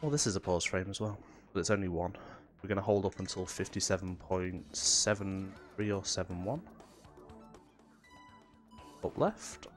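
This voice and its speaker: Deep-voiced guy, stuffy nose from a cold, chill gamer talk explaining his run, quiet game music under it. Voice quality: nasal. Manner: relaxed, explanatory, with fillers. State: has a cold. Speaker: speedrunner commentating his own run. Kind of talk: casual technical commentary.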